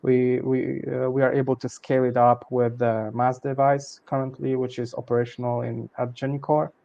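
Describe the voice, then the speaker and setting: A man's voice: medium pitch, little pitch movement, moderate pace, gravelly, warm tone, muffled recording. A man answering a question in an online webinar over a video call, speaking hesitantly with many fillers. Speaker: project partner answering a question.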